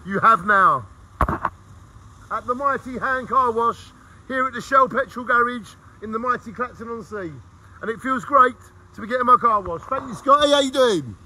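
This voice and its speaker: A man's voice: very high voice, normal speed, sharp tone, rough recording. A middle-aged man filming himself on his phone at an outdoor car wash, chatting to viewers like a showman. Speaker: vlogger. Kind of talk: cheerful vlog commentary. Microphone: phone held at arm's length.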